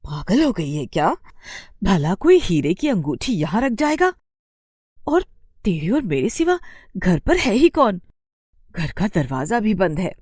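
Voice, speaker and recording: A woman's voice: mid-range voice, big pitch swings, unhurried, long pauses, a little mellow, husky, dry recording, clean studio sound. A woman voicing a cautious, caring middle-aged village mother, admonishing in a motherly way in a moral story. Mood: disbelieving scolding. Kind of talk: acted dialogue for moral story.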